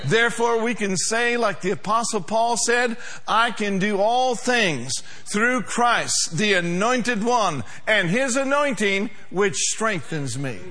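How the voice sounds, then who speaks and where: A man's voice: high-pitched, lively intonation, slow, hardly any pauses, sharp tone. A male pastor preaching a sermon to a congregation, emphatic, with call-and-response.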